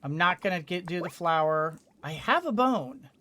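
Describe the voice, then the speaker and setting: A gamer giving casual commentary on a video game in a halting way, thinking aloud and repeating words. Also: medium pitch, expressive, sharp tone. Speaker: gamer, let's-play host. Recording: clearly noisy background.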